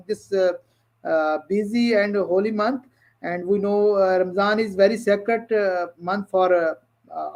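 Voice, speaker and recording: A man from Pakistan hosting a live online interview in English, polite and halting, with many "uh" pauses. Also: high voice, lo-fi sound. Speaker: interview host. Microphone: video call.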